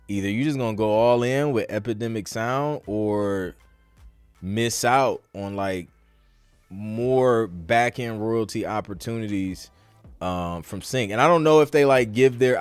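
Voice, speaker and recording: A man's voice: fairly low pitch, no room sound. A man hosting a podcast, talking in an informal, slangy, conversational way as in a live Q&A. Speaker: podcast host. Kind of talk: casual live Q&A chat.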